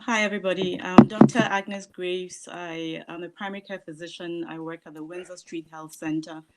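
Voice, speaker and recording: A woman physician from Ghana, introducing herself calmly on a video call. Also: lowish voice, normal speed, heavy background noise. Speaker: primary care physician. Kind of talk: self-introduction on a panel.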